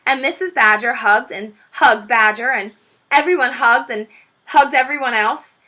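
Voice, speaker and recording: A woman teacher reading a children's book aloud, expressive and stopping to point out the pictures. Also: a little high, moderate pace. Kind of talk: children's read-aloud storytelling.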